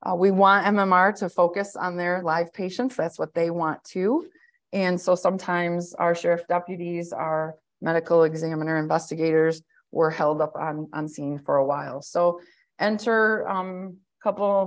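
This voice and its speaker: A woman in her thirties speaks in a loud, slightly low-pitched voice. She gives an administrator's update to a county board from an office over a webcam call, in an easy, conversational way full of fillers and restarts. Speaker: county administrator giving a report. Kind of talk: spoken report at a board work session. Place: indoor office, joining a hybrid meeting. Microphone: webcam on a video call.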